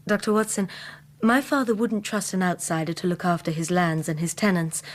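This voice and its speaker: An actress with an English accent playing a devoted, self-possessed young gentlewoman in a radio drama, earnest, polite and guarded. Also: on the low side, fairly even intonation, a little fast, close to an everyday voice. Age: young adult.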